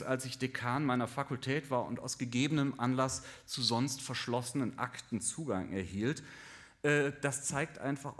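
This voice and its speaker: An older man lectures at a moderate, middle pitch, measured and dryly ironic. He now and then stumbles on filler sounds before picking up his point again. His tone stays formal and academic. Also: a bit bright. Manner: halting with fillers at times. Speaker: professor of Chinese studies.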